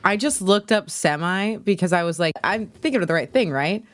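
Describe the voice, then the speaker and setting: Woman podcast chat, kinda low but super animated pitch, wry n playful, dry audio. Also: dry recording. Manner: wry, playful, quick to laugh. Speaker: podcast panelist. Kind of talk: casual banter.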